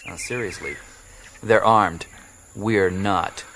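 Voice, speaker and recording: A blunt, sarcastic companion. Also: male voice, low-pitched, slow pace, a bit bright, slight background noise, full character voice. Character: blunt, skeptical male companion. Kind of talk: radio drama acting. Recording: jungle ambience with insects and birds.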